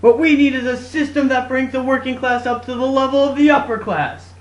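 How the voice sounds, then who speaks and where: A woman's voice: on the high side, lightly acted. A woman playing a downtrodden, defiant factory worker in a scripted puppet show speech. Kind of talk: rallying puppet show monologue. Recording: echoey room.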